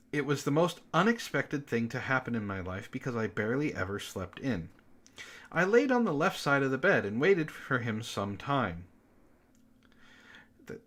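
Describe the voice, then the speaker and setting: A man's voice: mid-range voice, a bit bright. A man reading amateur fiction aloud expressively, breaking in with sarcastic, exasperated comments as a book club host.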